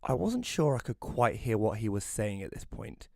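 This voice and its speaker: A man's voice: lowish voice, sing-song, normal speed, creaky, a little mellow, no echo, clean studio sound, exaggerated voice. A man playing a self-doubting young magazine columnist who narrates an audio drama in a wry, hesitant manner. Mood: wry narration. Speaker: narrator and lead character. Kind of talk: first-person audio drama narration and dialogue.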